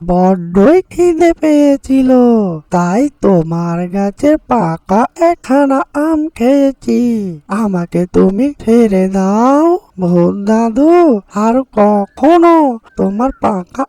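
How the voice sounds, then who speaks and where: Woman voicing a slow, pleading mother bird. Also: a little high, expressive, warm tone, dry recording. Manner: pleading, drawn-out delivery. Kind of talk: slow, theatrical cartoon voice acting.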